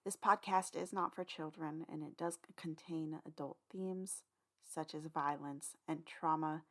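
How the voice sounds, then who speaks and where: A woman's voice: low voice, fairly even intonation, unhurried. A woman presenting a podcast, giving an informal spoken disclaimer.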